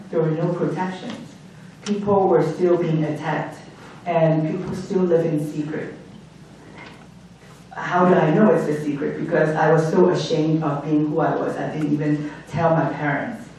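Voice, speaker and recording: A woman's voice: deep voice, darkish tone, recorded from a distance, slight background noise. Woman from Hong Kong, echoey hall. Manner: reflective, with frequent ums and restarts. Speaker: guest speaker. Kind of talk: personal story told at an event. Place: event hall.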